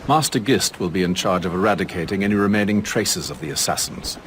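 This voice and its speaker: A cold, commanding Templar master for a game cutscene. His voice is fairly low and formal, over clearly noisy ambience. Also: expressive, fast, fairly bright tone. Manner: formal, authoritative. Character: commanding, coldly determined male Templar leader. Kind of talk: dramatic video game cutscene acting.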